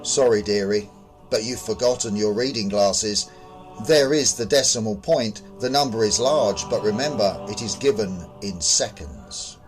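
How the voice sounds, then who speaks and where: A man's voice: lowish voice, unhurried, soft background music, close to an everyday voice. A man voicing a cold young female counter clerk in a horror story, bland and condescending as she states doom. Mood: mock sympathy.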